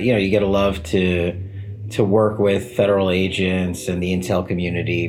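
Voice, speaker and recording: Low-voiced man telling his career story. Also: a little hiss or hum. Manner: candid, self-deprecating, laughs at his own plans. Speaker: interview guest. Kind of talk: relaxed conversational storytelling.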